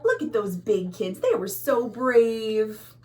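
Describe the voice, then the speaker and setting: American lady doing kids storytime, reads real slow, voice swoops up and down, sing-song. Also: a little high. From USA. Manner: expressive, sing-song reading with explanatory asides to children. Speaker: children's librarian and storytime host. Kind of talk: read-aloud picture book storytime for young children. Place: at home.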